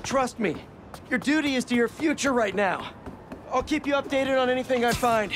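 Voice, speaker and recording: An actor playing a chatty, wisecracking young American superhero in a video game. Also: male voice, very high voice, normal speed, background noise. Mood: reassuring. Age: young adult. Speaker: game hero.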